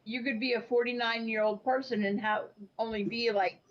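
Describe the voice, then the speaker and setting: A woman's voice: on the high side, fairly even intonation, normal speed. Blunt matter-of-fact lady in her 60s, kinda muffled call audio. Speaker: regular guest. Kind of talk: casual chat.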